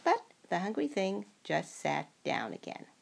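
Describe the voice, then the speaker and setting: Older woman, storybook read-aloud. Very creaky, husky voice, unhurried and swooping in pitch. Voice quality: gravelly, creaky. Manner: slow, expressive, acts out dialogue. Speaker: storybook reader. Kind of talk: animated children's picture-book read-aloud.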